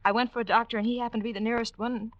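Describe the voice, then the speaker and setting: An actress playing an anxious young femme fatale in a 1940s radio drama, breathless, pleading and melodramatic. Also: female voice, on the high side, little pitch movement, rapid-fire, no echo, muffled recording, lightly acted. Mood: nervous confiding.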